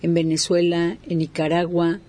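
A woman's voice: on the low side, flat delivery, moderate pace, warm tone. A woman hosting a talk show, conversational and full of little fillers.

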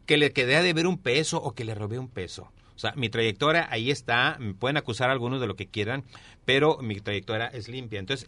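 A man's voice: medium pitch, pushed and bright, no room sound. A middle-aged man with a Mexican accent answering interview questions as a political candidate, emphatic and repeating key phrases for weight. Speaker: mayoral candidate and former newspaper columnist being interviewed. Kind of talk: interview answers, campaign pitch.

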